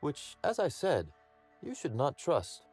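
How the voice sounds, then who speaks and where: Suave menacing crime boss, game acting, lively intonation, wry, theatrical, faint music behind. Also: male voice, a little high, slow, exaggerated voice. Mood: gleefully menacing. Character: suave, amused, menacing male crime boss in corporate employ.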